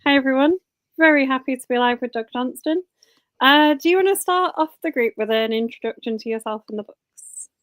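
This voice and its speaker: A woman's voice: high voice, brisk, no echo. A woman hosting a book club live stream on a video call, friendly and conversational, with small stumbles. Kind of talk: casual live-stream chat.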